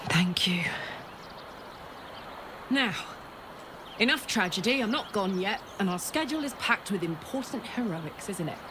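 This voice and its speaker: A woman's voice: medium pitch, animated, unhurried, pauses often, noisy background, natural voice. A woman acting a brash but tender warrior who is grieving her own coming death in a video game, raw and heartfelt. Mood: fond, wry.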